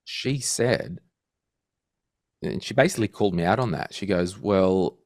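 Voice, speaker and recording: Guy in his 30s, very low voice, lively storyteller, dry close sound. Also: measured pace, no echo. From Australia. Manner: animated storyteller, acts out quotes. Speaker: guest financial podcaster. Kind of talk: casual interview chat.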